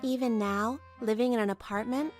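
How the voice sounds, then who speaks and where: A woman's voice: fairly high pitch, steady tone, moderate pace, a little mellow, lightly acted. A woman playing an anxious, earnest young wife who narrates her own troubles in a dramatised manga story. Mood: weary, aggrieved. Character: put-upon young wife, anxious, earnest, long-suffering. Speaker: narrator and lead of a manga dub. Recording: music behind the voice.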